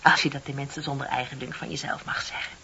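An actress playing a bitter, lonely middle-aged office worker in a radio play, confiding and sardonic with dramatic emphasis. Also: female voice, extremely low pitch, steady tone, quick pace, vocal fry, pushed and bright, whispered, full character voice. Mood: mock sympathy. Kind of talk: Dutch radio play acting. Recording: dull, hissy old radio recording.

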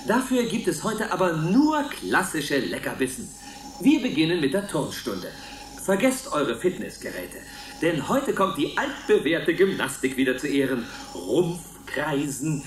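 A man's voice: on the high side, big pitch swings, a little slow, echoey room, slight background noise, rough recording. A man acting in a radio play as a self-satisfied, falsely cheerful minder of a futuristic colony, with a sing-song officious manner. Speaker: activity supervisor.

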